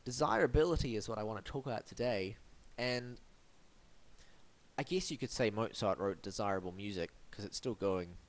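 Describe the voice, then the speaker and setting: A man's voice: fairly low pitch, moderate pace. A man hosting a web design review, blocked up and sniffly from hay fever, with a wry, mock-formal, theatrical delivery. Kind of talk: scripted web review monologue.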